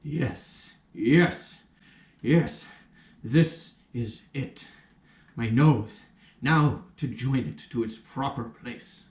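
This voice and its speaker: A man voicing a pompous, excitable major in a puppet play, with theatrical, exclaiming, drawn-out delivery. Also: medium pitch. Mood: relieved, eager. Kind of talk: puppet theatre character acting. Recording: echoey room, distant mic.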